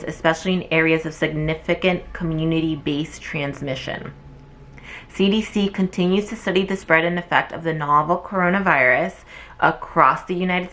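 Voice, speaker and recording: A woman's voice: fairly low pitch, a bit bright, slight background noise. A young woman, a nursing student, chatting casually to camera with lots of fillers, her voice wearing thin from talking. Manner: chatty and rambling, many fillers. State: losing her voice.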